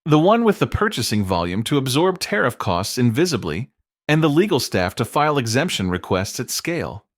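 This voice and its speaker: Man, scripted explainer narrator, emphatic phrasing, animated intonation, dry studio-like sound. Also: medium pitch, no echo.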